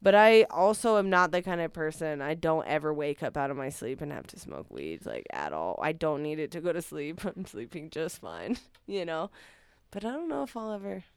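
A woman's voice: low voice, very animated, smooth, dry recording. A woman chatting as a guest on a radio show, enthusiastic and talkative. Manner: chatty, enthusiastic, quick laughs. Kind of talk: casual radio conversation.

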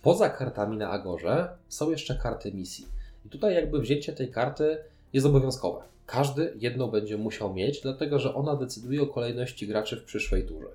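A man's voice: mid-range voice, expressive, normal speed, dark tone, roomy sound. A man co-hosting a board game podcast, explaining things in detail in a conversational way. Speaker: podcast co-host. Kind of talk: board game review chat.

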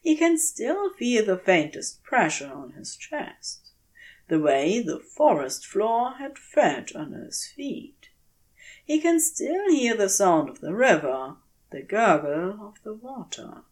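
A woman narrating a scripted story as an audiobook reader, expressive and deliberate, with dramatic pauses. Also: mid-range voice. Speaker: audiobook reader of fan fiction.

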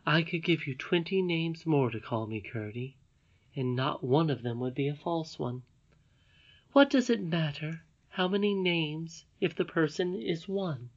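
Mom reading a wise ageless lady, slow and patient, kinda muffled recording. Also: sits low, animated, pitched above the performer's own voice, heavily acted. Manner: measured, patient. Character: ageless wise grandmother lady, calm and gently stern. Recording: dull lo-fi recording.

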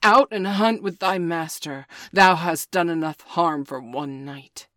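A woman voicing a proud male father wolf who speaks stiffly, curtly and loudly. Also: mid-range voice, animated, drawn-out words, husky, dry recording, clean studio sound, voice pushed up, exaggerated voice. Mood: angry. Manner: stiff, loud, curt.

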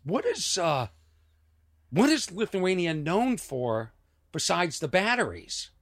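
A man from Massachusetts hosting a podcast and telling comic stories about himself in a loose, animated way. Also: high-pitched, slow pace, no room sound. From Lexington, Massachusetts. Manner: animated, self-mocking storyteller. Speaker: podcast host. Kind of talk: casual comedic chat.

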